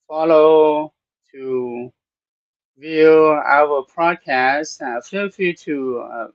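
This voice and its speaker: A podcast host whose native language is Thai, speaking English with a Thai accent in slow, halting talk full of "uh" pauses. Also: male voice, fairly high pitch, no room sound, dull audio.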